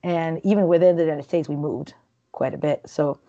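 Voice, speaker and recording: A woman's voice: low-pitched, steady tone, a little fast, dark tone, dull audio. A woman from Peru in her thirties, talking casually as an interview guest, chatty and rambling with many fillers and restarts.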